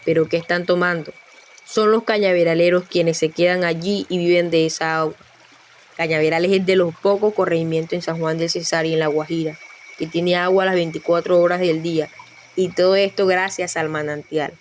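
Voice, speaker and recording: Low, even-voiced woman narrator. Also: normal speed, a little hiss or hum. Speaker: podcast reporter and narrator. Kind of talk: scripted documentary narration.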